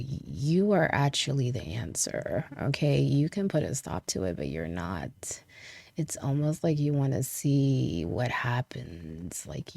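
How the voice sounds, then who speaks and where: A woman's voice: extremely low pitch, creaky, darkish tone, no room sound. A young woman giving a casual tarot reading, stuffy from a cold, chatty and laughing often. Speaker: tarot reader.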